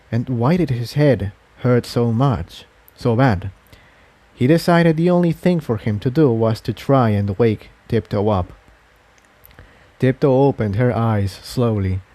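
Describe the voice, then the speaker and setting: Male narrator, mid-pitched, very mellow soft tone, measured story reading, dry close recording. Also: no room sound. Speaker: fan fiction narrator.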